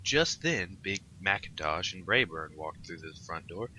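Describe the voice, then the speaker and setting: Deep-voiced, bright male story reader. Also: expressive, a little slow, a little hiss or hum, low-quality recording. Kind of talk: comic fan fiction narration.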